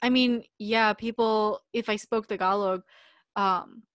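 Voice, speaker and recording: A woman from the United States co-hosts a relaxed podcast conversation. She talks in a reflective, meandering way, full of little fillers. Her voice sits at a mid pitch and sounds dry and close. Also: unhurried, no room sound. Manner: reflective, loose, many "like" fillers. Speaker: podcast co-host. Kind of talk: casual podcast chat.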